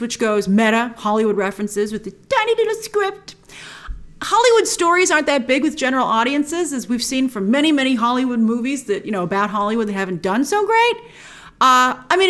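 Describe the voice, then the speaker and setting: Female reaction host: high-ish voice, very animated intonation, excitable, emphatic, conversational. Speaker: host of an entertainment news reaction show. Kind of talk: enthusiastic reaction commentary, informal.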